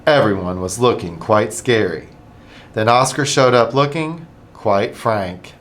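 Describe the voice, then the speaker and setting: A middle-aged man, a school administrator, reading a picture book aloud to children in an animated, expressive way. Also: lowish voice, measured pace, slight background noise.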